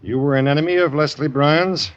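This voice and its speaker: Actor as dogged police detective, dull old recording. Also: male voice, on the high side, fairly even intonation, close to an everyday voice. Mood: dry, insistent. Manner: plain-spoken, deliberate questioning. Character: dogged, dry male police detective, quietly sure. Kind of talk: 1950s radio drama acting.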